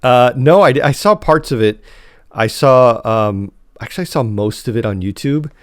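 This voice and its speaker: A man's voice: mid-range voice, lively intonation, moderate pace, no room sound, clean studio sound. A man hosting a podcast in a casual, informal and slightly rambling chat style. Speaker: podcast host.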